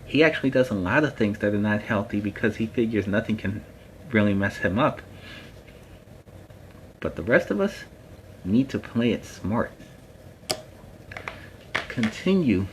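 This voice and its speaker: A man's voice: lowish voice, slight background noise, close to an everyday voice, rough recording. A man playing a friendly superhero storytime host, talking casually and earnestly to viewers in a plainspoken, emphatic way. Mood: casual, amused, then firm, instructive. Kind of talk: casual instructive talk to viewers.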